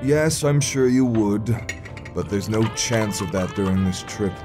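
A man's voice: lowish voice, unhurried, music in the background, close to an everyday voice. A man voicing a prissy, put-upon wizard train steward in a comic dub, formally polite and sarcastic. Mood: exasperated. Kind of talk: comic dub character acting.

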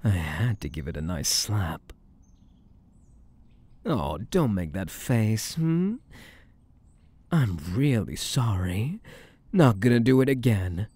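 Male mafia boss, acted by a man for ASMR roleplay: low, husky, smug and possessive, teasing with menace. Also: sing-song, slow, long pauses, dark tone, full character voice. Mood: flirtatious. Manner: teasing, drawling, menacingly sweet with pet names. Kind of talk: intimate ASMR roleplay acting.

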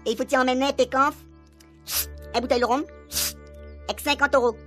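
A crafty, grumbling Réunionese fortune-teller. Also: male voice, extremely high pitch, steady tone, fairly quick, a bit bright, soft background music. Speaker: actor in a radio serial. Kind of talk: Creole radio comedy acting.

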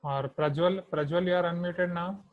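Indian guy teaching maths on a video call, kinda high voice, slow and careful, dry close mic. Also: fairly even intonation, no echo, narrow, tinny audio. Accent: Indian English. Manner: slow, deliberate dictation of terms while writing on a whiteboard. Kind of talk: online class teaching.

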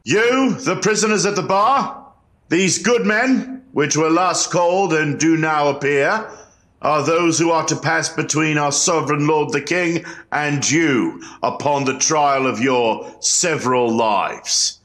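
A man's voice: on the high side, slow pace, fairly bright tone. A man playing a solemn, formal colonial court clerk in a historical reenactment, with a ceremonious, declamatory manner.

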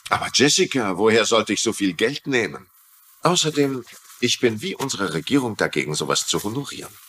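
Brusque, animated operative man, noisy soundtrack. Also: lowish voice, brisk. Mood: reassuring, confident. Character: urgent, combative male operative running a ransom handover. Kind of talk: German-dubbed TV crime drama acting.

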